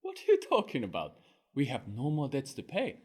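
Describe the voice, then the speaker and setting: A man voicing a fishmonger's wife in a one-performer comic tale, scolding her drunken husband in a sharp, exasperated manner. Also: mid-range voice, huge pitch swings, normal speed, breathy edge. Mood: gentle.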